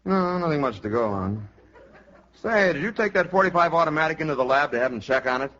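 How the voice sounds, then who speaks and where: Plain-talking cop boss, 1950s radio parody, dull lo-fi sound. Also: male voice, fairly high pitch, animated, brisk, a bit bright. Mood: businesslike. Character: matter-of-fact police chief briefing his officer. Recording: muffled old radio recording.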